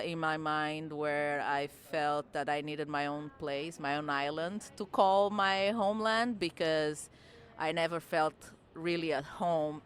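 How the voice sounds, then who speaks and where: Low-voiced woman, laughing often. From born in Romania, raised in Angola. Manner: reflective, laughs easily, nonnative phrasing. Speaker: interviewee at a festival. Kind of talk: conversational interview answers.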